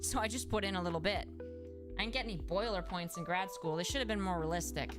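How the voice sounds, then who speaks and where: A woman's voice: lowish voice, fast talker. A young adult woman, a speech-language pathologist, chatting casually on a livestream and answering viewers, with lots of "like" fillers and restarts. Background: background music.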